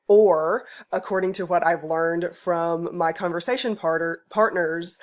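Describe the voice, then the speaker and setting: A woman giving an informal narrated presentation as a researcher, explaining things in a halting way with pauses mid-phrase. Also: on the low side. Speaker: student researcher presenting an ethnography project.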